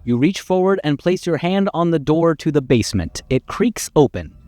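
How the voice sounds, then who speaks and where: A man's voice: fairly high pitch, big pitch swings, normal speed, dark tone, no room sound, soft background music. An actor playing a stern, exasperated game narrator, sardonic and scolding as he tells the story theatrically. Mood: measured narrating. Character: stern, exasperated male narrator guiding the hero.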